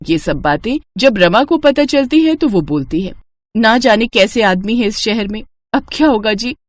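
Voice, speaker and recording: A woman's voice: medium pitch, a little hiss or hum. A woman narrating a scripted moral story as a storyteller.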